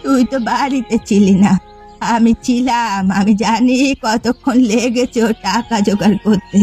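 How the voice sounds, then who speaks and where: A woman playing a jealous, accusing elderly village mother-in-law in a melodramatic cartoon, with slow, emphatic delivery. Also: on the high side, fairly even intonation, warm tone, soft background music, close to an everyday voice. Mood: angry, accusing. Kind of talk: melodramatic cartoon family comedy acting.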